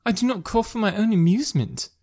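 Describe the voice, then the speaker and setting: Male reader as lively, slightly fretful girl in a classic novel, pitched up and girlish. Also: fast. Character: spirited young daughters, pert and fretful. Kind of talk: audiobook character voice.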